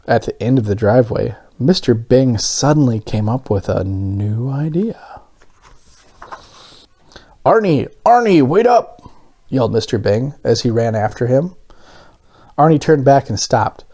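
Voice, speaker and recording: A man's voice: mid-range voice, mellow. A man reading a children's story aloud as scripted narration. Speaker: storybook reader.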